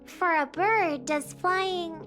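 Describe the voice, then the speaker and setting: A woman's voice: extremely high pitch, slow pace, a bit bright, soft background music, heavily acted. An actress voicing a sweet, innocent little girl in a game, earnest and a little hesitant. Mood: wistful, earnest.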